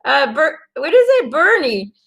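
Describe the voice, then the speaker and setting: A woman with a Belgian accent and a slightly raised pitch, speaking English as a second language after Dutch. She talks to her viewers in an earnest, encouraging way, often restarting and filling her sentences with "you know" and "um" as she gives an intuitive card reading. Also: sing-song, measured pace, creaky, fairly bright tone.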